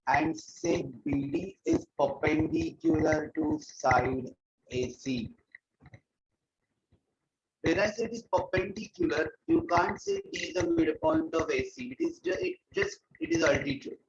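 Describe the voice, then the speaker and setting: Indian English man, math teacher, raspy gravelly voice, dull lo-fi earphone audio, repeats every key point. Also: high-pitched, very animated, slow, no echo. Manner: repeats key points, mixes in Hindi phrases. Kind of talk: online class lecture. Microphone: earphones mic on a video call.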